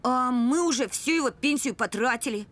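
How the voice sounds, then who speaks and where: A woman's voice: high voice, moderate pace, a bit bright. A woman voicing a sarcastic, laid-back teenage boy dog in a cartoon, with music behind. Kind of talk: animated cartoon acting.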